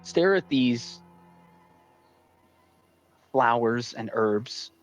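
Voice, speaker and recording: A male dungeon master narrates a tabletop fantasy game in an unhurried, deliberate way. His mid-pitched voice sets out each scene phrase by phrase, with faint music underneath. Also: lively intonation. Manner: deliberate descriptive narrator, pausing between phrases. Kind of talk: improvised narration of a tabletop role-playing game.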